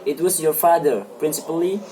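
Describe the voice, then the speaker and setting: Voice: medium pitch, a little fast, warm tone, a little hiss or hum, lightly acted, poor audio. An amateur reader playing a talkative, self-satisfied married man in a stage play, with eager, formal phrasing. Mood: earnest, explaining. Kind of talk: amateur dramatic play reading.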